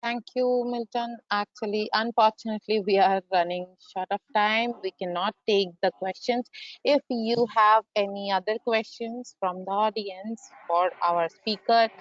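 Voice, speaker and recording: A woman's voice: mid-range voice, steady tone, normal speed, no room sound, muffled recording. A woman hosting a webinar, politely wrapping up and making announcements over a video call. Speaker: webinar moderator.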